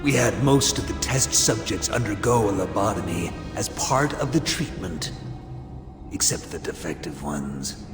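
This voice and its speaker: An actor playing a cold, self-satisfied scientist villain in a video game, delivering a theatrical, gloating monologue with drawn-out emphasis. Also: male voice, lowish voice, lively intonation. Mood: coldly explaining. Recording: echoey, music underneath.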